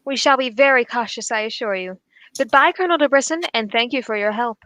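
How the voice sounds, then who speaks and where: A high-pitched, shrewd gentleman detective of old radio drama, played by a woman. The English sleuth is unflappable, formal and quietly amused, choosing words with measured courtesy. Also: slight background noise. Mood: polite, keen. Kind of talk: 1940s radio drama acting.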